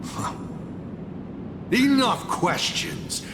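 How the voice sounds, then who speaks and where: An actor voicing a theatrical, mocking male villain in a video game, with a taunting, drawn-out delivery. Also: very high voice, sing-song, background noise, heavily acted. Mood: sly taunting. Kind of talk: dramatic video game voice acting. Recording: loud music under the voice. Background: music.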